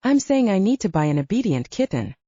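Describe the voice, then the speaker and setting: A woman's voice: mid-range voice, big pitch swings, fairly quick, mellow, breathy edge, no room sound, highs cut off. Woman reading a curt protective werewolf heroine. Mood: stern. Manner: curt, bossy. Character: tough protective female werewolf camp guard, curt and wry. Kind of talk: audiobook character voice.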